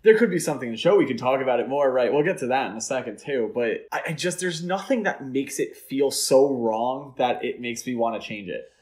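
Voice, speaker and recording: A man's voice: mid-range voice, expressive, brisk, few pauses, a little mellow. A man co-hosting a podcast, chatting about films in an easygoing, jokey and conversational style. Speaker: podcast co-host. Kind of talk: casual chat, film discussion.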